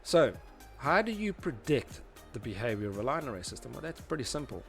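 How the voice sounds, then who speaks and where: A man's voice: mid-range voice, big pitch swings, brisk, fairly bright tone, faint music behind. A man presenting an informal tutorial, talking through diagrams in a conversational, explanatory way. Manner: informal, conversational, often adds "you know" and "obviously". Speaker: presenter of a tutorial series on PA systems.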